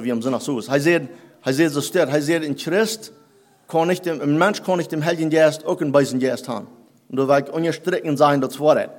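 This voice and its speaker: A man whose first language is Plattdeutsch, preaching a sermon in halting German that he mixes with English phrases. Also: fairly high pitch, darkish tone. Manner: halting, searching for German words, mixing in English.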